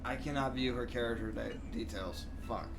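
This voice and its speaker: Excitable male game streamer over music. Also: medium pitch, moderate pace, creaky. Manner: excitable, slangy, swearing. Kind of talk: casual live gameplay commentary.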